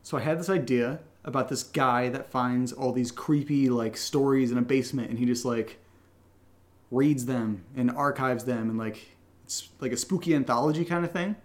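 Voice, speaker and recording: A man's voice: mid-range voice, normal speed. An American man from Connecticut co-hosting a podcast, answering questions in casual, irreverent, wisecracking chat. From Connecticut, United States. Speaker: podcast co-host and audio drama writer.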